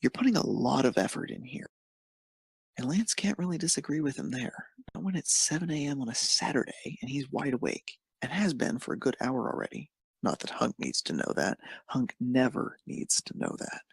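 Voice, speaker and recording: Creaky, husky male narrator. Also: medium pitch, lively intonation, moderate pace, no echo. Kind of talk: scripted narration of fan fiction.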